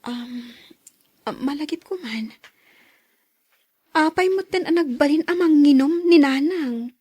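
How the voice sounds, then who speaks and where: A woman playing a gentle, supportive wife in a radio drama, reassuring and sympathetic in a breathy voice. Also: high-pitched, slow pace, no echo. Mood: concerned. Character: supportive, gentle young wife, kindly and encouraging. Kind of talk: Ilocano radio drama acting.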